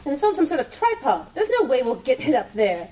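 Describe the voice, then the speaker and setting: A woman acts a fierce young warrior woman in a radio comedy. Her voice is high and swoops with energy, and she declaims proudly like a formal duelist. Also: sing-song, fast talker, dark tone, slight background noise, poor audio. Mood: doubtful. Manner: declamatory, formal challenge style. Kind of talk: comic radio play acting.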